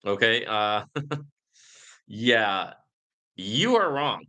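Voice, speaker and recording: A man hosting a live Q&A in a casual, conversational and emphatic way, reading comments and often checking in with a quick "right?". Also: lowish voice, sing-song, bright, forceful tone. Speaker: livestream host answering viewer questions.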